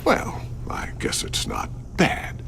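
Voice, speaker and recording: An actor playing a middle-aged, wry and protective tech expert and father in a video game, with a gravelly voice. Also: takes it slowly, a bit bright, a little hiss or hum.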